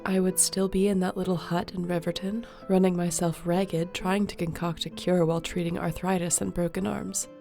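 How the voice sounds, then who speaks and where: Woman acting a mellow, low-voiced fantasy sorceress. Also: flat delivery, normal speed, vocal fry, hardly any pauses, faint music behind. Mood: warm, sincere. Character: gentle sorceress and healer, calm, capable, caring woman. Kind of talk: fantasy audio roleplay acting.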